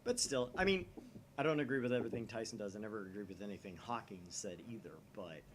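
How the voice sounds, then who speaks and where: A man's voice: lowish voice, animated, fast. Nerdy, rambling man with faint background noise. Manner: nerdy, rambling, swears freely. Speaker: gaming channel host. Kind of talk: casual chat while voicing a visual novel.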